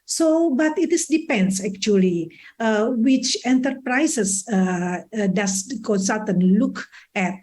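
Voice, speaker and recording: A woman from Indonesia with an Indonesian accent, speaking as a panelist in a halting conference presentation with non-native English phrasing. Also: medium pitch, lively intonation, a little mellow. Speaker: conference panel speaker, social economy advocate.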